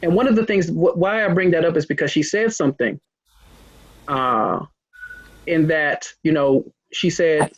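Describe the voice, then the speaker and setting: Hesitant man interviewer, husky and muffled. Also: a little high, a little slow, no echo. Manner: rambling, hesitant, many restarts. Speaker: interviewer, host. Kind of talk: casual interview. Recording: muffled lo-fi recording.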